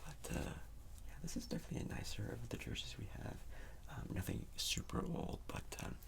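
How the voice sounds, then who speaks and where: A man speaking in a soft, breathy whisper for an ASMR roleplay, rambling casually like a store clerk helping a customer. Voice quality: breathy whisper with low creaky undertone. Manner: casual, rambling salesman patter. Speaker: ASMR performer playing a sports store clerk.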